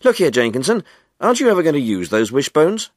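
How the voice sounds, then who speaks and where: A man reads as a nosy, humouring fellow in a storybook, pressing his questions insistently. The voice is raised in pitch and swings about, with a dry sound. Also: sing-song, fast, dry recording. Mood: prodding. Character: nosy male acquaintance, humouring and prodding. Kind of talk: character voice in a story reading.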